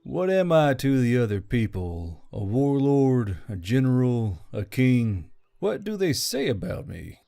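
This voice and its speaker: A man voicing a weary, self-doubting, soft-hearted military commander in audiobook dialogue, speaking in a subdued way. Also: medium pitch, sing-song, unhurried, gravelly, hardly any pauses. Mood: wry.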